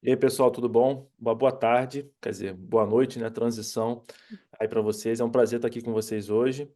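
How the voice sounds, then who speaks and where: A man's voice: medium pitch, fairly even intonation, moderate pace, dark tone. A man with a Rio de Janeiro Brazilian accent, talking casually and jokingly as a panelist on an online call. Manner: laid-back, joking, informal. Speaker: webinar panelist, company co-founder. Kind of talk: casual self-introduction.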